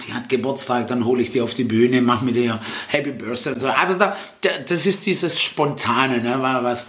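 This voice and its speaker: A man's voice: mid-range voice, a bit bright. A middle-aged man whose first language is Italian talks through his working day as a festival-tent jester in loose, rambling sentences. He keeps correcting himself and tags his points with a questioning "ne?". The room around him sounds echoey, with a faint hum of background noise. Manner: rambling, lots of fillers and self-corrections, tag "ne?". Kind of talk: relaxed interview answers.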